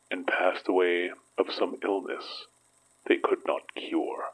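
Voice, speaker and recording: Actor as a slow, husky, deep-voiced remorseful man. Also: a bit bright, exaggerated voice, low-quality recording. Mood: mournful. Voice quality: husky, breathy, half-whispered. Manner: slow, halting, solemn. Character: grieving, remorseful father who counts himself evil. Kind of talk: audio drama acting.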